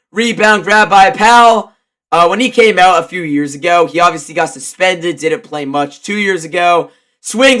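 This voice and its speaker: A man calls a live basketball game in a fairly high, forceful voice. He sounds stuffed up with a cold. His delivery mixes quick play calls with casual, excitable fan reaction. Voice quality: stuffy, congested. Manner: excitable, shouts on big plays. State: congested from a cold. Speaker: live play-by-play commentator and streamer.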